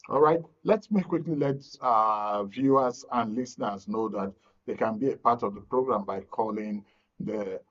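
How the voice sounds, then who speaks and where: A Nigerian man hosting a live broadcast discussion, with a halting, deliberate delivery. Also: fairly low pitch, fairly bright tone. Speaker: host of a live discussion programme. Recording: dull, lo-fi broadcast sound.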